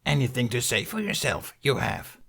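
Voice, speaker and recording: A man voicing an ancient, small alien master in an audiobook, with a croaky, strained voice and grave, inverted phrasing. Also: medium pitch, sing-song, fairly bright tone, pitched above the performer's own voice, exaggerated voice. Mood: stern admonishment. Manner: inverted word order, grave and deliberate. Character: ancient small green alien Jedi master, stern, inquisitive.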